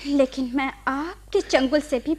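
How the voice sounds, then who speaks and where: A woman's voice: high voice, measured pace. A woman acting a proud, accusing young nurse in a radio play, with an indignant, cutting way of speaking. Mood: bitter, accusing. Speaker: radio drama actor.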